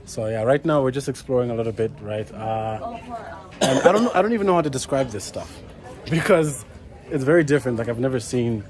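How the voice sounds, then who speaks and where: A man's voice: medium pitch, animated, brisk, a little mellow. A young man in his twenties talking casually to a handheld camera while walking through a city, rambling with fillers and restarts. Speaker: travel vlogger. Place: busy city street outdoors. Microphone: handheld camera at arm's length. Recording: street noise and traffic. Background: city traffic.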